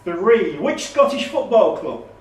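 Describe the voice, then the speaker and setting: High-pitched male quizmaster, echoey. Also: very animated, slow pace. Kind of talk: reading quiz questions aloud and ruling on answers. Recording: roomy, distant, noisy recording.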